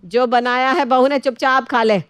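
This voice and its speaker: A woman's voice: high-pitched, fairly even intonation, moderate pace, fairly bright tone, smooth, no echo. A woman voicing an older, shrewd mother-in-law in a family story, with a scolding, commanding manner.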